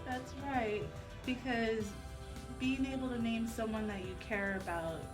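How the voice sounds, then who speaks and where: A woman's voice: a little high, fairly even intonation, a little slow. A woman co-hosting a talk radio show, presenting in a promotional announcing style. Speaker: radio co-host. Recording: music bed under the voice. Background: music.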